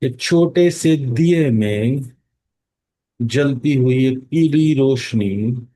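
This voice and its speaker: A man leading a guided meditation in a calm, instructing manner, with long pauses and drawn-out words. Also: medium pitch. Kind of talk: guided meditation in Hindi mixed with English phrases.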